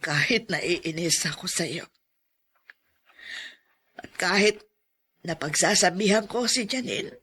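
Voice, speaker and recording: A woman acting a slow, husky, wounded older aunt. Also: on the low side, lively intonation, fairly bright tone, full character voice. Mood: tearful, loving. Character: hurt older aunt, reproachful yet loving, newly a grandmother. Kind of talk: melodramatic radio-style drama acting.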